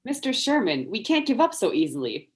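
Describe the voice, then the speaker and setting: Woman as animated, eager young detective's sidekick. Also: a little high, brisk. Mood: earnest. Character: earnest young female detective's assistant, eager and loyal. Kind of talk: amateur script table read.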